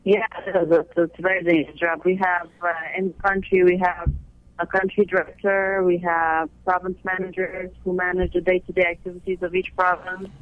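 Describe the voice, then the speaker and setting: A man's voice: high voice, level tone, a little fast, no echo. A man from Afghanistan who was raised in the United States, earnestly explaining his women's aid organization in a radio interview, often restarting his sentences. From born in Afghanistan, raised in the United States. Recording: dull lo-fi audio.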